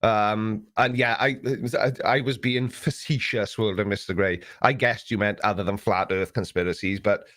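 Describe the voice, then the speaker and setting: A man's voice: lowish voice, steady tone, moderate pace, hardly any pauses, fairly bright tone. A middle-aged man hosting a live Q&A stream, chatting casually in a rambling, sarcastic, self-mocking way.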